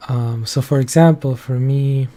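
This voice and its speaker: Young man, casual solo talk, rambling, filler-heavy, very dark mellow tone, flat delivery. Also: mid-range voice, a little slow. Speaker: commentary channel host.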